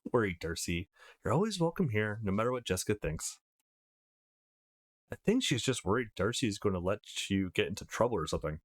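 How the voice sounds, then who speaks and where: A man voicing a kindly, motherly older woman in a visual novel, gently fussing and reassuring. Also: fairly low pitch, very animated, fairly quick, no room sound, clean studio sound. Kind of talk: visual novel character reading.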